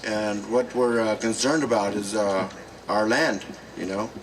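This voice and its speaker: Slow guy talking to a crowd outdoors, lots of ums, far from the mic, noisy. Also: on the low side. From South Dakota, United States. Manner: slow, many pauses and fillers. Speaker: speaker at a gathering. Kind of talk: halting public address. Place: outdoor gathering. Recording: distant mic with noise.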